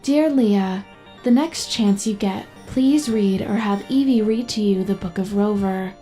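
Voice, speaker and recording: Woman doing calm female ai companion for an audio drama, mellow n precise, bit of music behind. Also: medium pitch, close to an everyday voice. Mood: precise, helpful. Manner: measured, precise, composed. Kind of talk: audio drama acting and scripted narration.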